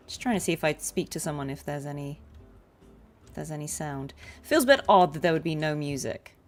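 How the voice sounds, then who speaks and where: A woman's voice: sits low, a little mellow. A woman game streamer chatting informally and musing aloud to her live viewers. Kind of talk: casual live stream chat.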